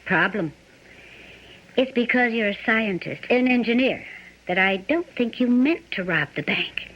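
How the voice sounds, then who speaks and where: A woman's voice: on the high side, animated, measured pace. An actress playing a shrewd, probing woman held hostage in a radio drama. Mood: reasoning, insistent. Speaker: actor. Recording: dull lo-fi radio broadcast.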